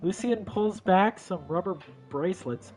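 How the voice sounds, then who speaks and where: A man's voice: high-pitched, unhurried, darkish tone, soft background music. A man doing casual, playful game commentary as a let's play streamer, reading narration aloud. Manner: playful, giggly.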